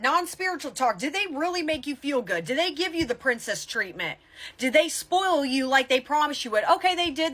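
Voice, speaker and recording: A woman's voice: sits high, bright, forceful tone. A woman giving motivational spiritual advice as a tarot reader, in a blunt, emphatic tough-love manner with casual swearing.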